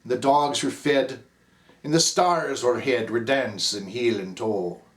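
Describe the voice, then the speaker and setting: A man's voice: mid-range voice, slow pace. A man with an Irish accent reciting a ballad as the narrator Cap, in a rhythmic, theatrical delivery. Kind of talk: dramatic poem recitation.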